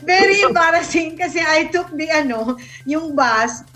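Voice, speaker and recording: A woman's voice: sits high, lively intonation, normal speed, pushed and bright. A woman with a Filipino accent chatting casually as a guest on a panel. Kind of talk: casual chat in mixed Tagalog and English. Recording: music behind the voice. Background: background music.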